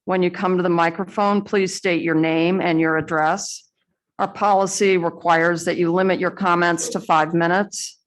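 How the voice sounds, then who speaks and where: Lower-voiced woman chairing a board meeting. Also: fairly even intonation, low-quality recording. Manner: measured, procedural, with some fillers. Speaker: school board president. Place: school board meeting room.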